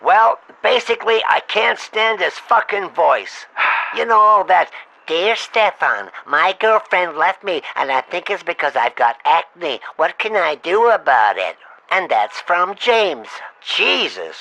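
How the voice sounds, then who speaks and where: A man's voice: sits high, big pitch swings, slow pace, creaky, sharp tone, heavily acted. A man playing an exasperated, foul-mouthed talent agent in a comedy phone-call sketch, blunt and sarcastic as he scolds his client. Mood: blunt complaining. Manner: blunt, scolding, sarcastic, talking over the other.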